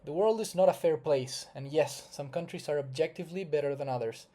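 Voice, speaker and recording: Male consultant-presenter, Chilean Spanish accent, slightly high yet very mellow voice, earnest and formal explainer read. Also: brisk. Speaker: investment migration consultant presenting. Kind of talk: scripted explainer narration to viewers.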